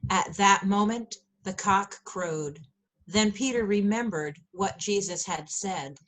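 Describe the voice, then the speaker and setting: A woman's voice: mid-range voice, slow, fairly bright tone, rough recording. A woman narrating a scripture passage as a lay church reader.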